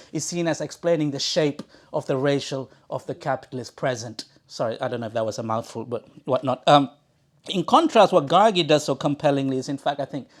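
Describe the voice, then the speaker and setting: Higher-voiced man, wordy academic speaker. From Sri Lanka. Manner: erudite, wordy, halting with frequent restarts and repeated words. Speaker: event host introducing an author. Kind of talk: academic introductory remarks at a live book talk. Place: talk in a bookshop before an audience.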